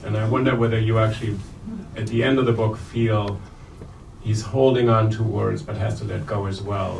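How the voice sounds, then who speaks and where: A man in the audience putting a reflective, deliberately phrased question at a live event. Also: fairly low pitch, creaky, a little mellow. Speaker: audience member asking a question. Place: bookstore event room. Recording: echoey room, distant mic, faint noise.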